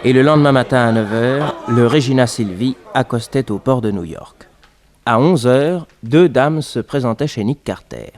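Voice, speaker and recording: A man's voice: mid-range voice, animated, normal speed, a little mellow, a faint crowd, natural voice. A man narrating a scripted 1960s French radio detective serial.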